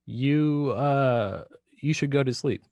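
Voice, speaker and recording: A meek, bewildered husband in a radio play, played by a man, speaking very slowly and haltingly. His voice is mid-pitched and dry, with words often repeated as he hesitates. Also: darkish tone, no echo. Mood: nervous. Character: weak, bewildered henpecked husband turning to murder. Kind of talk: radio drama acting.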